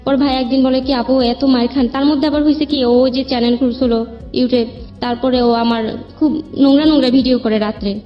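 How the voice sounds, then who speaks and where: A woman with a Bangladeshi accent tells her personal story in an interview, plainly and at length, often quoting what others said. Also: high-pitched. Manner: rambling, plain-spoken narration with quoted dialogue. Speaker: guest telling her life story. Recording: band-limited dull sound with background music.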